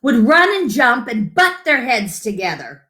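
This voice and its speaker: A woman reading a picture book aloud to children in an expressive, gentle, deliberate storytelling manner, with asides about the pictures. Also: a little high. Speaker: children's story-time reader.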